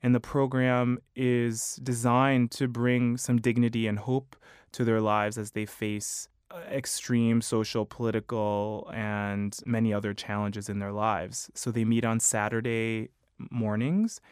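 A man in his thirties, a choral conductor with a high baritone voice, giving measured, thoughtful interview answers. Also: level tone, clean tone, no room sound, clean studio sound. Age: 30s. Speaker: choral conductor and music director.

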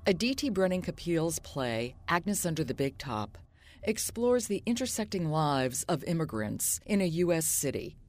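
A woman's voice: low voice, big pitch swings, moderate pace, a little mellow. A woman host reading a scripted introduction to an arts interview. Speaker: radio host.

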